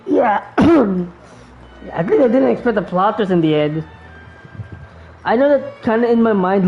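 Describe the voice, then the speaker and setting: A woman with a Filipino accent giving casual, rambling reaction commentary as host of a gaming video. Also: fairly low pitch. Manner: rambling, informal. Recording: muffled mic with faint noise. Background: faint noise.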